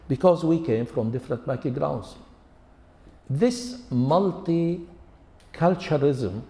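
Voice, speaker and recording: A man in his seventies, an Arabic speaker, delivers an English-language religious lecture. His voice is mellow and dark, and he piles up lists and rhetorical questions in a measured way. The sound carries a long reverb tail from a large hall. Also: mid-range voice. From Lebanon. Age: 70s. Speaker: Islamic scholar and lecturer.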